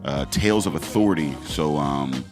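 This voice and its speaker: Male podcast host, deep voice, relaxed plug-reading delivery, studio mic, music bed. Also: moderate pace. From Pittsburgh, USA. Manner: laid-back, loose, swearing, many fillers. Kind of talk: casual podcast chat, reading sponsor plugs.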